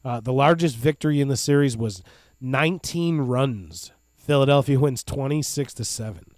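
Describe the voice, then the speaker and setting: A man's voice: mid-range voice, moderate pace, darkish tone, no room sound. A man, co-hosting a casual sports podcast. He talks with hearty enthusiasm and a hype-man flair. The sound is close and dry, with a faint hum under it. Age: 30s. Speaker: podcast co-host.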